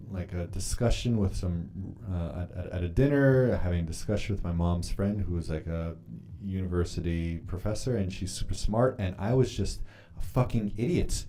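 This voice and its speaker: Low, mellow-voiced man chatting loosely. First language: Polish. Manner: rambling, swears freely, loose and candid. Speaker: livestreamer reading chat. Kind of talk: casual live-stream chat while journaling.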